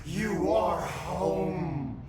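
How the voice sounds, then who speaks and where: A man's voice: high voice, a loud crowd. An actor playing an unhinged, possessed captive man in a video game, chanting cryptically with eerie detachment. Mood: eerie, detached. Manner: slow, chanting, cryptic repetition. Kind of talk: dramatic video game acting. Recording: roomy echo with clear background noise.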